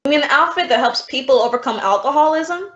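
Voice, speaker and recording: Actress as witty female teacher, deadpan sarcasm, radio sitcom, muffled and echoey recording. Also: high-pitched, fast. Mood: dry, questioning. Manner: dry, sardonic. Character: wry, quick-witted woman schoolteacher. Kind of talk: old-time radio comedy acting. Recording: echoey room, dull audio.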